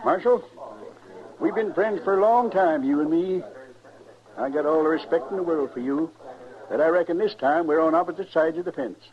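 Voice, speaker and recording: A man's voice: fairly high pitch, moderate pace, natural voice. An actor playing a stubborn, pious old frontier father in a radio western, with a rustic, solemn, defiant delivery. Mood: solemn, reluctant. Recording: muffled vintage radio recording, crowd behind.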